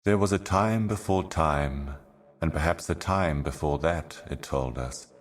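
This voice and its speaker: A man voicing an ancient, weary otherworldly envoy in a video game, delivering cryptic poetic monologues in a grave, brooding, measured manner. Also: extremely low pitch. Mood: grave, ominous. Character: ancient solemn otherworldly envoy, weary eternal servant of cosmic darkness.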